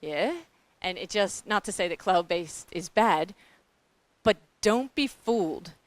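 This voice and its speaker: A middle-aged woman with a New Zealand accent gives a panel talk about grantmaking. She is blunt and candid, animated, and often speaks straight to the audience. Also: on the low side, slow pace, dry recording.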